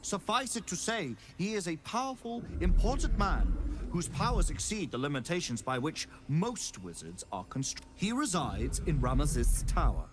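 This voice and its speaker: A man's voice: a little high, big pitch swings, moderate pace. A man playing a polite, pompous, officious magical construct clerk in a fantasy video game, speaking in formal, wordy sentences. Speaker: shop attendant.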